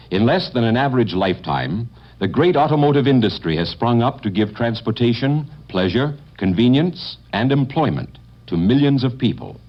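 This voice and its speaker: A man reading a formal sponsor announcement as a radio announcer, with a polished, emphatic delivery. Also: fairly low pitch. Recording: dull lo-fi 1950 radio broadcast.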